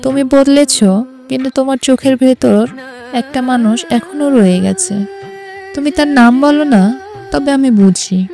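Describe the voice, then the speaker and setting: A woman playing a sincere, gently proud young woman in an audio story, earnest in manner. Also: high voice, measured pace. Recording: music behind the voice.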